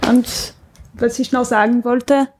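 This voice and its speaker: High-voiced woman chatting on a podcast. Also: flat delivery, takes it slowly, darkish tone. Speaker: podcast panelist. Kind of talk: casual review chat.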